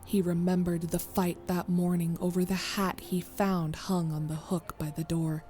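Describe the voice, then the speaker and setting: A woman's voice: lowish voice, little pitch movement, moderate pace, dark tone, faint music behind. A woman narrator reading a scripted story.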